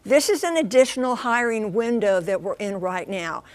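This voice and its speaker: A mature American woman correspondent explaining things on live TV news in an animated, folksy, emphatic way. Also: medium pitch, normal speed. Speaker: transportation correspondent.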